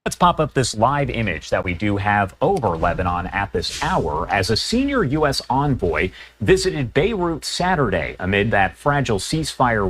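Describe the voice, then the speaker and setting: Man, news anchor, lively pitch, emphatic, live broadcast read. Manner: measured, emphatic newsreader delivery.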